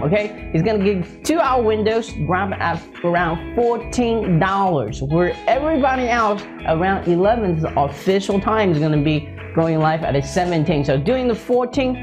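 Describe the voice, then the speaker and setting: A man's voice: sits high, expressive, moderate pace, hardly any pauses, music in the background. A man hosting an interview in an upbeat sales style, repeating himself and checking in often, with non-native English phrasing. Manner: repetitive, hype-heavy delivery with frequent check-in tags. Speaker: interview host and product promoter.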